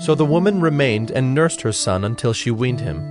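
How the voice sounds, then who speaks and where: A man's voice: mid-range voice, animated, moderate pace, over music. A man narrating scripture from a script in a solemn style.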